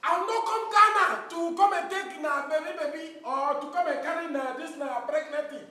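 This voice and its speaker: A man's voice: extremely high pitch, moderate pace, sharp tone. A man playing a boastful Nigerian man with a Nigerian Pidgin accent who stammers and blusters at his pregnant girlfriend. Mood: dismissive. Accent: Nigerian Pidgin English. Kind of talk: skit comedy acting. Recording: echoey room from far off, noisy.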